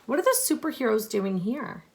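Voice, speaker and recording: A woman teacher reading a picture book aloud to children, expressive and instructive, pausing to explain and ask questions. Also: fairly high pitch, a little hiss or hum. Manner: deliberate, instructive, addressing young listeners with questions.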